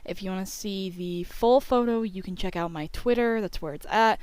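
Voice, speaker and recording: A woman's voice: mid-range voice, moderate pace, a little mellow, clean tone, dry recording. A young woman telling a story in a casual, chatty voice-over, peppered with filler phrases like "you know". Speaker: YouTube storyteller.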